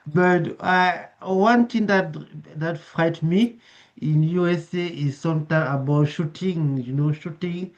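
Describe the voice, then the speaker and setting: Halting man with a strong foreign accent. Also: fairly high pitch, muffled recording. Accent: non-native English. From outside Canada, non-native English speaker. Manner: hesitant, searching for words, many restarts. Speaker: meeting participant, English learner. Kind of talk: casual online meeting talk.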